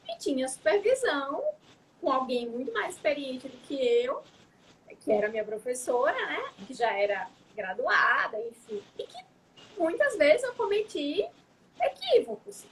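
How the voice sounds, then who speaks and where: High-voiced woman, reflective chat. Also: a bit bright, a little hiss or hum, poor audio. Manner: reflective, frequent tag questions. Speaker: psychologist guest. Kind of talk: casual interview talk.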